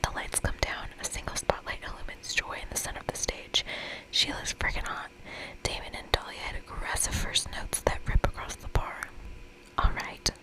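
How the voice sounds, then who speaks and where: A woman's voice: normal speed, pushed and bright, rough recording. Whispering woman, playful ASMR game narration. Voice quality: whispered, breathy. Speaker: ASMR creator playing through a visual novel game.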